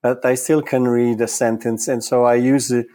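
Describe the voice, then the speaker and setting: A man from Italy whose first language is Italian, answering interview questions in a thoughtful, halting way with frequent repeated words. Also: medium pitch, little pitch movement, warm tone. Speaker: psychoanalyst guest.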